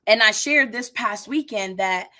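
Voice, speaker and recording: A woman in her thirties hosting an energetic motivational live stream, talking straight to her viewers, her voice a little raspy today. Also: medium pitch, measured pace. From United States. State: slightly raspy voice. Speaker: livestream host.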